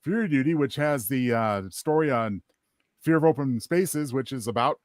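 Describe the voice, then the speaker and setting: A man's voice: medium pitch, moderate pace, no echo. A man in a casual group discussion, talking in a hesitant, thoughtful way. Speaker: panel guest, writer.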